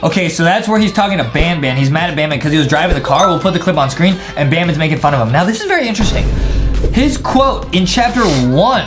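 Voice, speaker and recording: Fast-talking young man hosting a gaming breakdown. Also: on the high side, expressive, music in the background. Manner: slangy, explains lines simply and repetitively. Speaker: YouTube host. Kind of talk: casual excited gaming breakdown.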